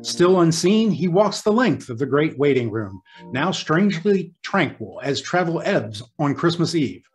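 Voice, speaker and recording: A man's voice: on the high side, very animated, measured pace, soft background music. A man narrating an old-time radio drama in a grand, theatrical, scripted manner.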